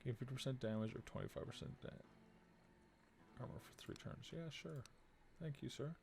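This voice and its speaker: A man's voice: normal speed, creaky, a little mellow, a little hiss or hum. A man in his thirties streaming a game, muttering casually as he thinks aloud and reads out text. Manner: low-key muttering, reading card text aloud. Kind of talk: casual let's play commentary, thinking aloud.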